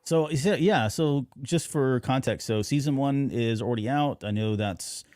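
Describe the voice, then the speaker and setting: A man's voice: medium pitch, moderate pace, darkish tone, no echo. Agreeable male podcast host, dry sound. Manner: agreeable, frequent affirming interjections. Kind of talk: casual interview chat.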